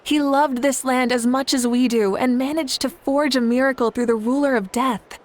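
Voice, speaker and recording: A woman's voice: high-pitched, fairly even intonation, normal speed, close to an everyday voice. A woman voicing a confident, composed young woman leader in a video game, speaking reassuringly. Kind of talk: video game character acting.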